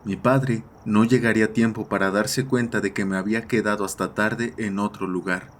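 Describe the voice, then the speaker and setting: A man's voice: on the low side, steady tone, normal speed, a little hiss or hum. A man reading a scripted horror story as its narrator.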